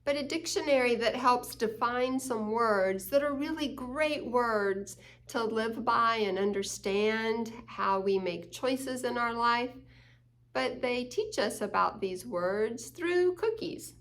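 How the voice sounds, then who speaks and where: A woman's voice: on the high side, slow pace, a bit bright. A woman hosting a children's story time, reading a picture book aloud and explaining it with questions to the young listeners.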